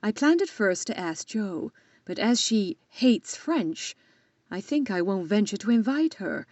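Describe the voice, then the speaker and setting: An actress playing a gentle but firm middle-aged mother in a period audio drama, with measured delivery. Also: mid-range voice, very animated, no room sound, muffled recording. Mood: gently regretful.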